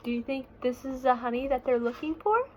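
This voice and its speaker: A woman's voice: high voice, animated, a little fast, lo-fi sound. A woman reading a picture book aloud to young children, expressively, with teacherly questions for the listeners. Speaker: read-aloud reader. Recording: clear background noise.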